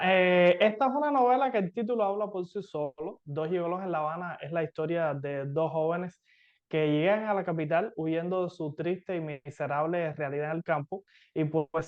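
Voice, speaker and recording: A man from Cuba with a Cuban accent, a novelist explaining himself as an interview guest, with hesitant pauses, over dull video call audio. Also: a little high. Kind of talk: conversational interview answers.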